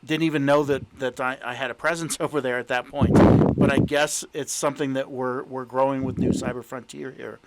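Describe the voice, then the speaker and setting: A man's voice: medium pitch, little pitch movement, fairly quick, heavy background noise. A man hosting an interview podcast, talking casually with many fillers and restarts. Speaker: podcast host. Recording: voice over background music.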